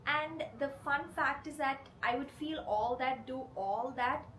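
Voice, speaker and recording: A woman with a cold that leaves her voice hoarse and rough, hosting her channel and talking earnestly and directly to her viewers. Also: sits high, measured pace, a bit bright, slight background noise. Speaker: channel host. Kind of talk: confessional vlog talk to camera.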